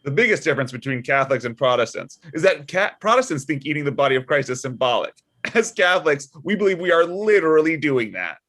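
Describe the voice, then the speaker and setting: A man's voice: fairly high pitch, sing-song, quick pace, fairly bright tone. A man playing a blunt, stubborn, unbothered father of a political candidate in a comedic table read. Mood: earnest.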